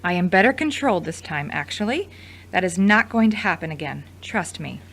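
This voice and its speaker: Actress as a steamed, jealous woman, swooping pitch. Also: lively intonation, a little fast, gravelly, a bit bright, exaggerated voice. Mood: irritated, defensive. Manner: indignant, sarcastic. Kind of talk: scripted audio drama acting.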